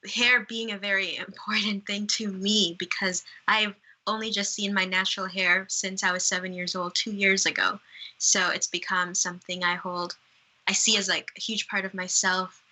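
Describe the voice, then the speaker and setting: A woman's voice: medium pitch, flat delivery, normal speed, sharp tone, low-quality recording. A young woman from Uganda with a Canadian accent, speaking candidly as a guest. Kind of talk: casual conversation.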